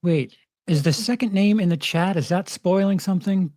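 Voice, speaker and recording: A man's voice: high-pitched, normal speed, darkish tone, no echo, studio quality. A man chatting casually on a livestream panel in a jokey, self-deprecating manner. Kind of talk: casual chat.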